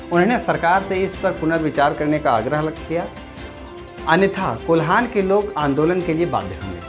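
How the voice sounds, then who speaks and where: A woman's voice: low-pitched, animated, moderate pace. A woman reading a scripted news explainer as narrator. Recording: music behind the voice. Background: background music.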